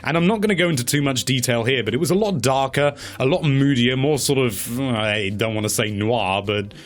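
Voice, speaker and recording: A man presenting a countdown in a casual, opinionated commentary style, wry and given to mock-dramatic emphasis and drawn-out words. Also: medium pitch. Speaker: presenter of a video game movie countdown.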